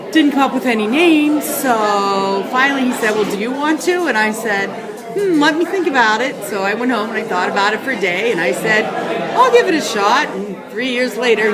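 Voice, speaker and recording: Middle-aged woman chatting over loud crowd noise. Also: mid-range voice. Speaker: swim coach. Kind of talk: casual interview answers. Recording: loud crowd din in large indoor space.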